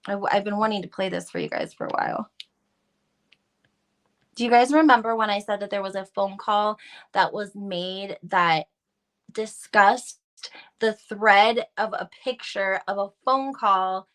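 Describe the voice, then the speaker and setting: A woman's voice: mid-range voice, measured pace, fairly bright tone. A woman hosting a gossip video, giving casual, opinionated commentary to viewers in an emphatic, informal way. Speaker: reality TV gossip video host.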